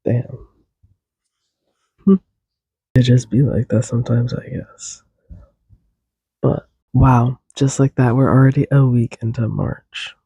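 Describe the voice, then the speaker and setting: A man's voice: medium pitch, steady tone, slow pace, creaky, pauses often, dark tone, slightly rough, dry recording. A young man hosting a solo podcast, rambling casually and a little congested, drifting off on tangents and humming tunes. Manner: casual, tangent-prone, hums and sings tunes. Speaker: podcast host.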